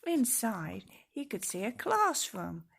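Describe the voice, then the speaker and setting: A woman reading a children's storybook aloud in an expressive way, speaking directly to young listeners. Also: fairly high pitch, slow, creaky, slightly rough. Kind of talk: storybook read-aloud with casual asides.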